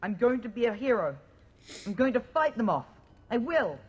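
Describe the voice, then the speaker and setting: A woman's voice: fairly high pitch, very animated, normal speed, darkish tone, husky, dull audio. A woman playing a scared but stubborn small orphaned village boy in a video game, defiant and pleading in a childlike way. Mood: upset, stubborn. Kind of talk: video game dialogue acting.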